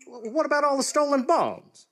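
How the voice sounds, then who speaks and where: An officious small-town deputy in live radio comedy. He speaks earnestly, with a lively, widely moving pitch. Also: normal speed, exaggerated voice. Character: Willoughby, self-important small-town deputy marshal. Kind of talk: live comic radio theatre acting.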